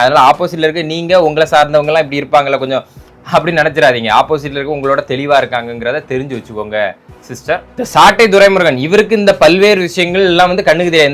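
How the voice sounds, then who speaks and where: A man's voice: on the high side, a bit bright. A man from giving casual political commentary, arguing and mocking with rhetorical questions. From Tamil Nadu, India.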